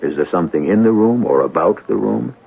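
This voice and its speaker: A man hosting and narrating a radio drama in a measured, theatrical manner with weighty pauses. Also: low voice, animated, vocal fry, breathy edge. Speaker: host and narrator. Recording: tinny band-limited vintage radio recording.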